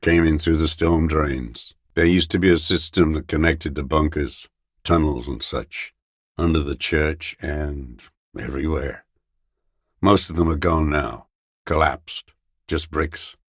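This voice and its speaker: A man playing a bitter old communist deserter in a video game, muttering in contemptuous, terse fragments with a gravelly, raspy voice. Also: extremely low pitch, steady tone, slow pace, no room sound, clean studio sound, exaggerated voice. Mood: weary, terse. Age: older. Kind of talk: video game character voice acting.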